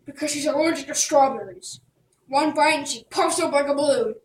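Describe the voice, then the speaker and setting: A woman's voice: sits high, a little fast, close to an everyday voice. A woman doing a stern royal guard. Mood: officious. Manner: officious, matter-of-fact. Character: stern, officious male castle guard. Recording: roomy, distant mic.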